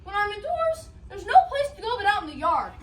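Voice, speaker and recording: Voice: extremely high pitch, sing-song, fairly quick. A young female performer playing a restless, naive little boy piglet in a theatrical storybook stage reading. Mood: bored, whiny. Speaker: student reader's theatre performer. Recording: echoey room, very noisy, distant mic.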